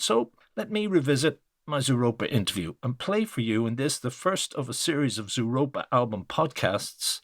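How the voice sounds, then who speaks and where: A man's voice: medium pitch, dry recording. Man, dry close podcast narration. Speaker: podcast host, interviewer.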